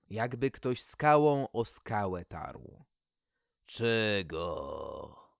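A man's voice: mid-range voice, darkish tone, no room sound, clean studio sound. A man reading a humorous fairy tale as podcast host, in a theatrical, folksy storytelling manner.

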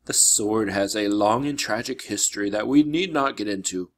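A man voicing a wise older mentor in a storybook, grave and kindly, speaking in a measured, solemn way. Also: lowish voice, lightly acted. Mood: grave, instructive.

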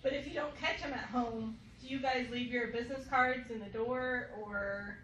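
A woman's voice: on the high side, fairly even intonation, moderate pace, a bit bright, slight background noise. A woman in a workshop audience asking a casual, slightly hesitant question. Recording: echoey room, far from the mic.

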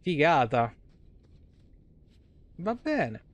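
A man's voice: a little high, sing-song, very slow, no room sound, muffled recording. A young man from Italy doing casual live gameplay commentary, playful and foul-mouthed. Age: young adult. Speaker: live game streamer.

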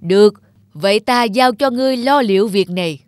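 A man's voice: high voice, brisk, no echo, close to an everyday voice. A man playing a stern old heavenly emperor in a cartoon fairy tale, formal and commanding. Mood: stern, measured. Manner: imperious, formal. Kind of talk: animated fairy tale acting.

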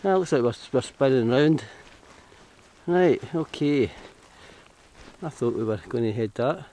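A man's voice: medium pitch, animated, unhurried, dark tone. Scottish man, hiking vlogger, rambling casual commentary, camera mic outdoors. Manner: chatty, rambling, self-correcting. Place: outdoors on hill paths.

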